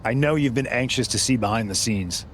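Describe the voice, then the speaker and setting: Scheming wrestling faction boss played by a man, medium pitch. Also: a little fast, a little hiss or hum, natural voice. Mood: persuasive, assured. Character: persuasive scheming male wrestling faction leader. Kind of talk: scripted game cutscene dialogue.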